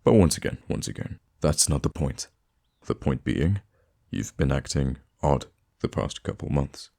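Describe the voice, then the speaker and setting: Man voicing a very deep, gravelly theatrical supervillain. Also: animated, unhurried, warm tone, exaggerated voice. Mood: exasperated fondness, then concerned, pointed. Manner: dramatic, flustered, teasing, stammering when thrown off. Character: theatrical male supervillain fond of his hero nemesis. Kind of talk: ASMR roleplay monologue.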